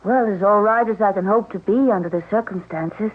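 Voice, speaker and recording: An actress playing a weary, polite widow in a radio mystery drama, speaking softly and wearily. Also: female voice, medium pitch, fairly quick, lightly acted. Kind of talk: 1970s radio drama acting. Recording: tinny radio sound from off-mic.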